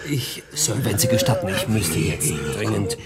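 A man's voice: lowish voice, expressive, normal speed, a loud crowd. An actor in a German radio play playing a deferential, uneasy soldier, hesitant and obedient toward his superior. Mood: nervously flattering.

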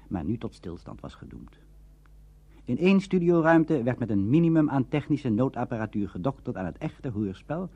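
A man's voice: mid-range voice, mellow, husky. A man presenting a radio documentary, reading scripted narration in a formal register. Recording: dull lo-fi archive radio recording.

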